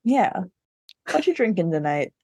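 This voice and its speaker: A woman's voice: lowish voice, big pitch swings, measured pace, a little mellow, husky, no room sound, studio quality. A woman co-hosting a podcast in casual chat, with a wry, self-mocking, joking way of talking. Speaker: podcast co-host.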